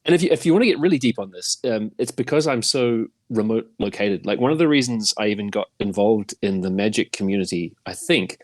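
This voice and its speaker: A man's voice: on the low side, animated, brisk, hardly any pauses, no room sound. A middle-aged man with a New Zealand accent chatting casually as a podcast guest, in a rambling, self-deprecating way. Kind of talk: casual chat.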